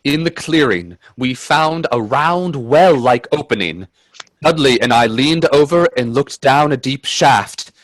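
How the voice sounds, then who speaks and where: A man playing an earnest, uneasy gentleman adventurer in a radio play, telling his tale with theatrical, hesitant suspense. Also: mid-range voice, lively intonation, measured pace, dry recording, natural voice, low-quality recording. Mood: suspenseful, dread. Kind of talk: dramatic radio play narration and dialogue.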